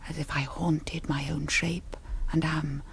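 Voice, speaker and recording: Slow, breathy, low-voiced vampire countess. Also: female voice, flat delivery, slight background noise. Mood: haunted, hungry. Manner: slow, breathy, half-whispered theatrical delivery. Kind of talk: 1970s radio drama acting.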